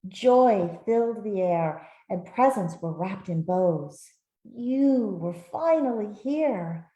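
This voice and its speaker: Slow, mellow woman reading to children. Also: mid-range voice, highs cut off. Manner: gentle, expressive, with soft asides to listeners. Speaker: author reading her own picture book. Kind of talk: read-aloud storytelling for children. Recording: roomy, echoey sound.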